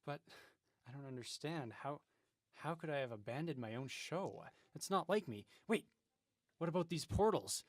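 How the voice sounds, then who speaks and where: A man's voice: a little high, animated, normal speed, no echo, clean studio sound. An actor playing a confused, earnest radio DJ who has lost his memory and keeps asking questions. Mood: protesting. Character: confused amnesiac male radio DJ. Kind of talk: comedic audio drama acting.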